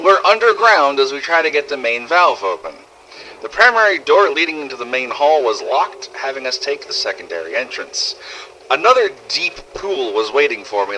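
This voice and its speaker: A man's voice: mid-range voice, sharp tone, slight background noise, poor audio. A man playing a weary, sarcastic survivor of a zombie outbreak, narrating first-person audio logs in a scripted game-story style. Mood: matter-of-fact. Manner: sardonic, exasperated, swearing.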